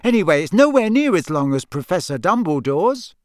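A man's voice: high-pitched, big pitch swings, fairly quick, dry recording, studio quality, pitched above the performer's own voice. A man doing a teasing teenage girl.

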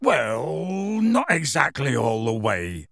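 A huge, gruff half-giant gamekeeper tells his tale. The voice is husky and rough, swinging freely in pitch, with folksy, unhurried phrasing. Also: sing-song, a bit bright, dry recording, clean studio sound, heavily acted. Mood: cagey. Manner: folksy, ungrammatical, unhurried storytelling. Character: huge gruff half-giant gamekeeper, rustic, warm-hearted, plain-spoken. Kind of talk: audiobook character voice.

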